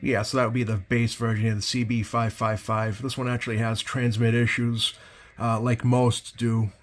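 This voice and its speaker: A man's voice: mid-range voice, level tone, normal speed. A man giving a casual, hands-on product demonstration as a host, hesitant and rambling, often correcting himself. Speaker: host, CB radio collector. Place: indoors at a workbench.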